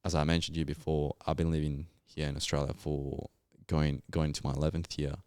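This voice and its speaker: Young colombian guy, super low smooth voice, chatty and reflective, lots of "you know". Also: flat delivery, fairly quick, darkish tone, no room sound, clean studio sound. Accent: Colombian Spanish. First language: Spanish. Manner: reflective, storytelling, frequent fillers. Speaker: podcast guest. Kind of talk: casual interview chat.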